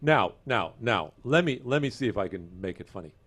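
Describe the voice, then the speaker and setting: Guy hosting a casual chat show, voice a bit on the low side, bantering. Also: expressive, a little fast, a bit bright, breathy edge.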